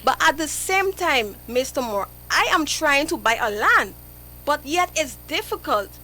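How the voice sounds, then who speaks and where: A woman's voice: sits high, very animated, unhurried, bright, forceful tone, rough recording. A young woman, a guest on a live radio interview, speaking earnestly and pleading her point to the host.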